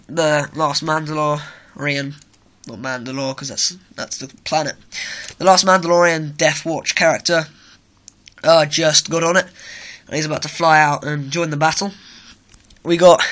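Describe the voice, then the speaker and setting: A teenage boy talks through his model build in a loose, rambling way, full of fillers and corrections. His voice sits a little high and sounds bright and edgy, though a cold has left his throat sore. He is recorded on a handheld camera's own mic in a room at home. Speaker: hobby video maker. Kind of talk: casual walkthrough of a model build.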